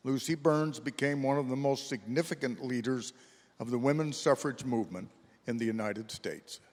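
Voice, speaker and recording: A man's voice: medium pitch, normal speed. A man reading scripted historical narration as the narrator of a readers theater. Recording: reverberant hall, long echo.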